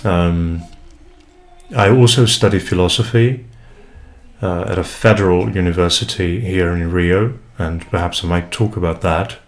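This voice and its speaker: Man, young, from Brazil, Portuguese first language, very low voice, halting and thoughtful, home desk mic. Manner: hesitant, many fillers and restarts, reflective. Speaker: English tutor and vlogger. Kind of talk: casual first vlog introduction. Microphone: USB desk mic, unprocessed.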